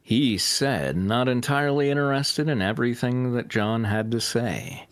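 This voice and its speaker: A man's voice: mid-range voice, moderate pace, no echo. A man reading fanfiction aloud as a host, breaking off for joking banter.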